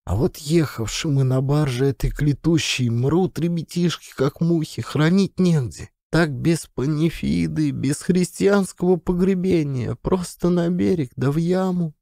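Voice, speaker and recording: Weary old peasant grandmother, played by a man, folksy audiobook character, high and mellow, resigned lament. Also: measured pace, gravelly, few pauses, no room sound, studio quality. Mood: plaintive, weary. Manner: sighing, lamenting, folksy dialect.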